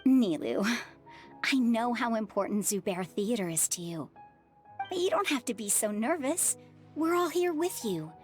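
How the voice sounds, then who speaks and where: A woman voicing a kind middle-aged former adventurer and widowed mother, speaking gently and reassuringly over soft music. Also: on the high side, animated, measured pace. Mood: calm. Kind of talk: game voice acting.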